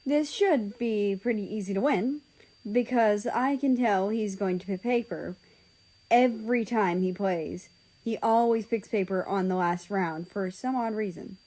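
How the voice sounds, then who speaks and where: A woman's voice: mid-range voice, a little slow, warm tone, low-quality recording. A woman reading a first-person story aloud as scripted narration. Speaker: fan fiction reader.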